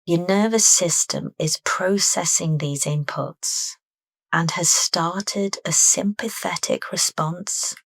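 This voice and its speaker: Female meditation narrator: low register, soft and deliberate, dry studio-like sound. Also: dry recording, low-quality recording. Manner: soft, unhurried, deliberate phrasing. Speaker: meditation guide.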